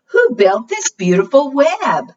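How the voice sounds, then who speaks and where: A woman's voice: high voice, sing-song, slow, distant mic, highs cut off, voice pushed up, heavily acted. A woman voicing friendly storybook farm animals with exaggerated calls and eager, playful questions.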